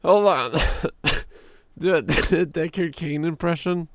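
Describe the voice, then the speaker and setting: A hoarse man in his thirties with a sore throat, streaming a game and reading its story text aloud in a deeper storyteller voice. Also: takes it slowly, dry recording, clean studio sound. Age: 30s. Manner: drops into a deep storyteller voice for the narration.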